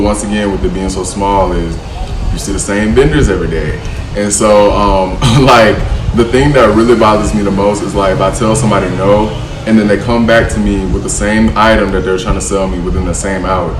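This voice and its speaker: A man's voice: fairly low pitch, fairly even intonation, normal speed. A young American man vlogging in a loose, slangy way, often saying bro and like. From United States. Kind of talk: casual vlog chat. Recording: roomy, distant, air-conditioner hum.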